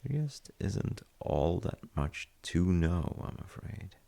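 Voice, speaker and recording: A man playing a gentle, thoughtful, reassuring dad in a soft-spoken comfort roleplay, hesitant and reflective, with a husky, gravelly voice. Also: low-pitched, sing-song, exaggerated voice. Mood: gently musing. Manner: hesitant, reflective, pausing mid-sentence. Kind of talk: soft-spoken sleep-aid audio roleplay.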